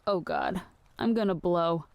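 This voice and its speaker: Weary practical woman, lowish voice, dry, sardonic, exasperated, close dry sound, audio drama. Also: wildly swooping pitch, measured pace, smooth, dry recording. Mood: disgusted. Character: exasperated, queasy, practical woman minding her raving companion. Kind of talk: scripted audio drama acting.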